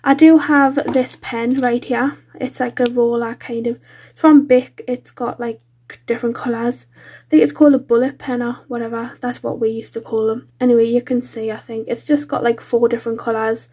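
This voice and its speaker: A British woman speaks in a fairly high, even voice that is husky and congested from a cold. She rambles informally in a self-deprecating way, like a casual craft vlogger talking to viewers. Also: normal speed. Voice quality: congested, nasal. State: bunged-up nose from a cold. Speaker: colouring channel host. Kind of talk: casual chatty craft vlog.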